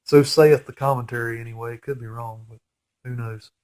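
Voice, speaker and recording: A chatty man in his forties talks through a movie, his voice nasal and stuffy from a head cold. He rambles in a relaxed, conversational way and gets openly excited about what's on screen. His pitch sits right in the middle of the usual range for a man. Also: a little fast. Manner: rambling, chatty, geeky enthusiasm. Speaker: fan film commentator. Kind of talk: casual movie commentary.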